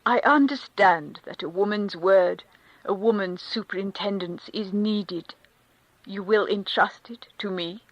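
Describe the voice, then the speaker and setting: A woman's voice: mid-range voice, measured pace, no room sound, dull audio, close to an everyday voice. A woman reading a devout countess who consoles in a fervent, preaching manner. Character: devout rapturous aristocratic countess. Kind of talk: audiobook character reading.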